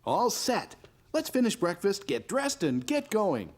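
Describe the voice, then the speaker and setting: A man playing a kind, patient father in a children's cartoon, with a gentle storytelling manner. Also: high-pitched, wildly swooping pitch, unhurried, very noisy, heavily acted. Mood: cheerful, patient. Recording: music behind the voice.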